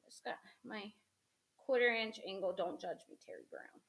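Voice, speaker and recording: A woman's voice: medium pitch, normal speed, vocal fry, fairly bright tone. A woman hosting a crafting demo, chatting casually to viewers as she paints, a little sniffly from a cold. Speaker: craft host.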